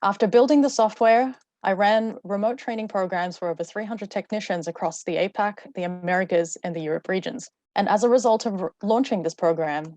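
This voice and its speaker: A woman's voice: lowish voice, a little mellow, no echo. A woman giving a fluent, prepared talk in a webinar over a video call. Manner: fluent, rehearsed. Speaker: webinar speaker.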